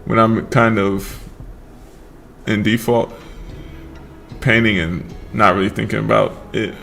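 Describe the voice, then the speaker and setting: A man casually chatting on a livestream while he paints, relaxed and slurring his words together, trailing off mid-sentence. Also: on the low side, slow, creaky. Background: faint lo-fi background music.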